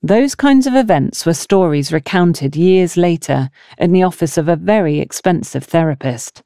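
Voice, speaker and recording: Woman narrating a novel, lively and mellow. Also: on the low side, normal speed, dry recording, studio quality. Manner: expressive storytelling with acted dialogue. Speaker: audiobook narrator.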